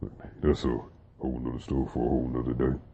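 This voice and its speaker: A man's voice: very deep voice, quick pace, breathy edge. A young man talking casually and rambling a little, using slang as he chats to his viewers. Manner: relaxed, rambling, with fillers and slang. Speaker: vlogger. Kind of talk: casual talk to camera about deep voices. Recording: dull lo-fi sound.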